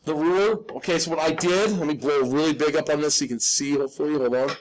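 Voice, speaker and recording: A man with a high, lightly raspy voice walks students through a drawing task step by step. He is informal and self-deprecating, talking like a hands-on teacher and often pausing to check in. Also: a little hiss or hum, rough recording. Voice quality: slightly raspy. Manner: instructional, checks in often with "okay?". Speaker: drafting teacher. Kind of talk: live step-by-step hand drawing demonstration.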